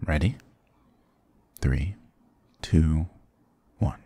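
Very deep, gravelly flirty goth guy, acted by a man. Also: extremely slow, warm tone, no room sound, studio quality, exaggerated voice. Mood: mock-dramatic, teasing. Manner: teasing, affectionate, mock-dramatic. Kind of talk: intimate ASMR roleplay acting.

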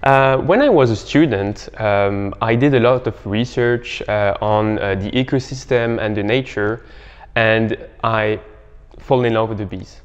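A young man giving halting, explanatory answers as an interview guest. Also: lowish voice, smooth. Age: young adult. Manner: halting, hesitant phrasing. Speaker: startup co-founder, interview guest. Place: bright indoor living room. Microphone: clip-on lapel mic. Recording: muffled, reverberant room.

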